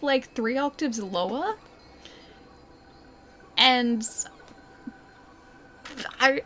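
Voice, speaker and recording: A woman's voice: high-pitched, fairly bright tone, a little hiss or hum. Giggly, chatty woman streamer. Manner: chatty and giggly, with many fillers like "like" and "dude". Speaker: livestreamer. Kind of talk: casual gameplay chat while reading viewer comments.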